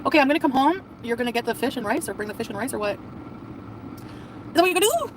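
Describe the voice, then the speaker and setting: A woman's voice: high voice, very animated, fast, recorded from a distance, noisy background. A woman in her forties live-streaming outdoors, complaining and ranting. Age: 40s. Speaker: livestreamer.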